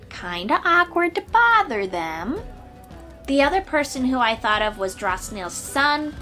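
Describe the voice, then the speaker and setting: A woman's voice: a little high, fairly bright tone. A woman playing a confident, eager young sea-dweller warrior in a fantasy audio roleplay, speaking expressively and informally to the listener. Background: music.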